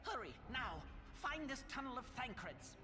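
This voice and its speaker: A man's voice: very high-pitched, very animated, long reverb tail, over music. An actor playing a small, scholarly male mage in a video game cutscene, dutiful and resolute, with formal, old-fashioned diction. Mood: resolute, urgent. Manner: formal, archaic, measured theatrical diction.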